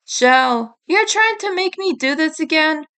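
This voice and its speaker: A blunt teenage turtle brother from a story, voiced by a woman, pitched high. He is guilt-ridden and awkward with feelings, and he speaks in unhurried lines. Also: expressive, sharp tone, full character voice. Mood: teasing grumble.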